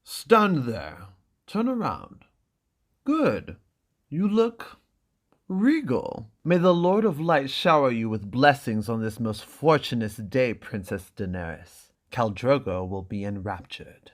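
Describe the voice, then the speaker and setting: A man voicing a smooth, flattering wealthy merchant in a dramatic reading of a graphic novel. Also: mid-range voice, slow. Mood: admiring. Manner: flattering, grandly courteous.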